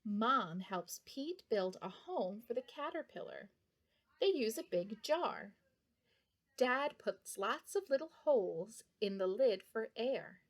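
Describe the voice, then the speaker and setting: A woman's voice: fairly high pitch, animated, slow pace. A woman reading a picture book aloud for young children, addressing her young listeners directly. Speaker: children's storybook reader.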